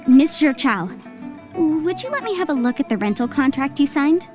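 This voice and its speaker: A woman's voice: high voice, sing-song, moderate pace, darkish tone, faint music behind. A woman voicing a confident young legal advisor in an anime game, precise, formal and courteous. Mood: analytical.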